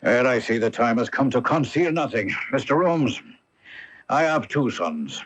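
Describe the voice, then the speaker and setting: An actor playing a proud, troubled aristocratic Duke in a radio mystery, with a stately, formal and deliberate delivery. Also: male voice, mid-range voice, lively intonation, husky. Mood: grave confession. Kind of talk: 1980s radio mystery acting. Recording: dull lo-fi AM radio recording.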